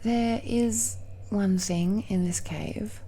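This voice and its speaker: A woman voicing a tender, nervous girlfriend of dragon blood in an intimate romantic audio roleplay, speaking haltingly and softly, often dropping into whispers. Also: mid-range voice, a little hiss or hum. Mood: playful, affectionate. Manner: slow, halting, hushed, often sighing or lapsing into whispers. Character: tender, nervous dragon-descended girlfriend confiding in her lover.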